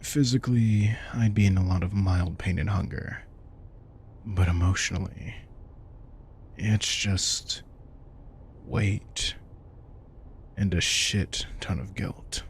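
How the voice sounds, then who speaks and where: Guy doing a protective vampire king for audio roleplay, deep voice, casual n tender, bit of background noise. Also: fairly even intonation, slow pace, vocal fry, pauses often, exaggerated voice. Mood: serious, vulnerable. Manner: soft-spoken, casual, reassuring, occasionally swearing. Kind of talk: intimate audio roleplay, one-sided dialogue.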